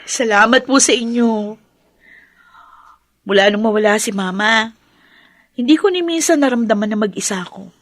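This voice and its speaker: A woman acts a young adopted daughter in a Filipino radio drama. Her voice sits a little high, with a tender, sincere and familiar delivery full of gratitude. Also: normal speed, long pauses, dry recording, studio quality, lightly acted. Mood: heartfelt. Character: grateful, loving young adopted daughter who is studying. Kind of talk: Tagalog radio drama acting.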